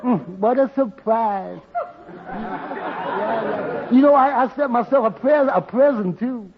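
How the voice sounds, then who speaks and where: A man's voice: extremely high pitch, slow pace, a crowd in the background, full character voice. A man acting a timid, dim fellow with a heavy comic stammer in a golden age radio sitcom. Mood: simple-minded. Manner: heavy comic stammer, repeats and loses words. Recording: muffled vintage radio recording.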